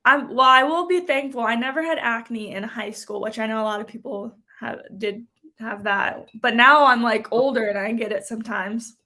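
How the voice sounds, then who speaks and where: A woman's voice: fairly high pitch, fairly even intonation, moderate pace, bright, forceful tone. A woman in her twenties hosting a casual live chat and reading out comments in a chatty, giggly way. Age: 20s.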